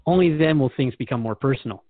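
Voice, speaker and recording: Quick-talking male host on a phone-like line. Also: mid-range voice, no room sound. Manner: engaged, reflective, paraphrasing. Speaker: radio show host. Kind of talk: conversational interviewing and reflection. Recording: dry, thin phone-like band.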